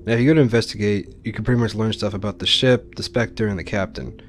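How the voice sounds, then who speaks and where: Male gameplay host. Informal, explanatory commentary, fairly low voice, light music underneath. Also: fast talker. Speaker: Let's Play host.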